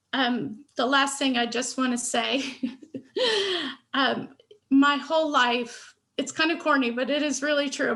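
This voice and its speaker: Woman panelist, speech pathologist, gravelly tone, open stutters and ums, video call audio. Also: high voice. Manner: warm, candid, with stutters, fillers and restarts.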